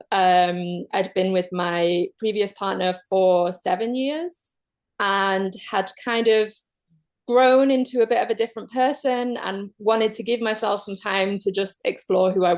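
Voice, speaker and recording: A woman from Manchester with a Mancunian accent, a guest openly sharing her love story in a casual chat, pausing often on soft "um"s. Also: mid-range voice. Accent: Mancunian English. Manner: open, measured, many soft "um"s.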